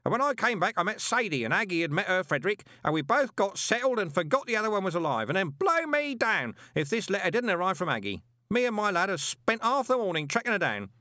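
A bellowing hearty old shopkeeper. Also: male voice, very animated, fast talker, bright, forceful tone, no room sound, studio quality, full character voice. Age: older. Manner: bellowing, booming, no volume control. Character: loud hearty elderly shopkeeper man, bellowing.

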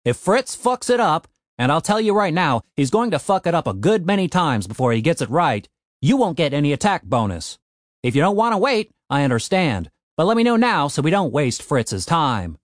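Man voicing a gruff, sarcastic, exasperated boss. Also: on the high side, sing-song, normal speed, no echo, studio quality. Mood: grudging, blunt. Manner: blunt, sarcastic. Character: gruff, cynical, foul-mouthed male boss, exasperated.

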